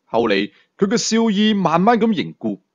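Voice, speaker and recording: A man's voice: sits high, very animated, moderate pace, highs cut off. A man with a Hong Kong Cantonese accent narrating a thriller story dramatically as an audiobook storyteller.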